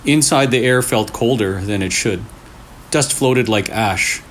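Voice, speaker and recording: A man reading a scary story aloud in short, deliberate phrases with pauses for suspense. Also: fairly low pitch, a bit bright. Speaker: storyteller, channel host. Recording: clearly noisy room recording.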